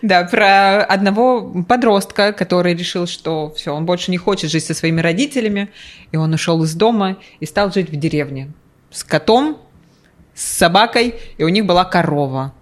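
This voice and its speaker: A woman co-hosts a relaxed video conversation. She tells stories in a casual, unhurried way and turns now and then to address the viewers directly. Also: on the low side. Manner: easygoing storyteller, speaks to viewers directly. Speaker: video co-host. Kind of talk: casual chat, telling anecdotes.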